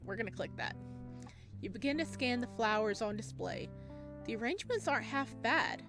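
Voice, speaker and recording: A woman with a fairly high voice plays through a story game, reading the narration aloud with expression. Her asides are gushing and excitable. Plucked guitar music plays clearly behind her. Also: normal speed. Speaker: host of a game playthrough. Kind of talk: let's play commentary with read-aloud narration.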